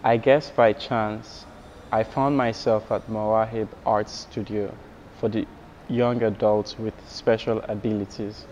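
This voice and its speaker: A man with a fairly low voice reads out interview answers at a slow, measured pace. He delivers them as an interpreter would, statement by statement. The sound is somewhat dull. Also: flat delivery, a little mellow. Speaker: interpreter voicing a deaf artist's signed answers. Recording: dull, noisy recording.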